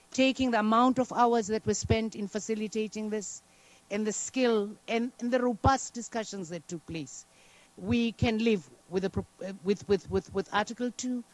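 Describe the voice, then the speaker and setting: High-voiced delegate, man. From South Africa. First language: Xhosa. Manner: measured, deliberate, occasional fillers. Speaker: diplomatic delegate. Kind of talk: formal conference statement.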